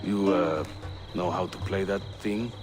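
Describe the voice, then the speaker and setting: An actor playing a wry, spirited Turkish assassin in a video game, a loyal comrade with a Turkish accent. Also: male voice, low-pitched, a little slow. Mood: brisk, conspiratorial. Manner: wry, animated. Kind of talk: dramatic video game acting. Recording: background music under the voice.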